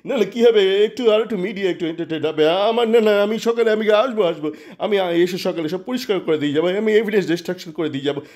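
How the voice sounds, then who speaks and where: A man's voice: sits high, fast, darkish tone, voice pushed up, lightly acted. A man playing a stubborn, scheming hospital principal, insistent and repetitive, in an amateur audio story. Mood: stubborn, dismissive. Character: stubborn, scheming male hospital principal, educated crime boss. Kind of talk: amateur audio-fiction dialogue.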